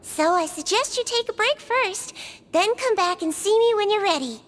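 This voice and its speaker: A woman voicing a small, kind, unfazed nonhuman head nurse in a video game, gentle, patient and playfully matter-of-fact. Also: very high-pitched, lively intonation, normal speed, a little hiss or hum. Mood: playful, thoughtful. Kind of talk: English video game voice acting.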